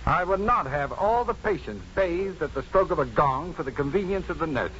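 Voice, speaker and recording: Urbane, witty doctor, old radio. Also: male voice, fairly high pitch, lively intonation, normal speed, a bit bright. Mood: firm. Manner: urbane, dryly witty, authoritative. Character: witty, self-assured doctor running his own clinic. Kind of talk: 1950s radio drama acting. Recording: muffled, noisy 1950s radio recording.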